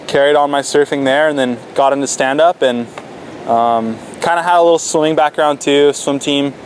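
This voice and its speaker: A teenage man answering interview questions in a relaxed, casual way, with frequent fillers and restarts. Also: medium pitch, a little hiss or hum. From Florida. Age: teens. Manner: laid-back, lots of fillers and restarts. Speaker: stand-up paddle athlete.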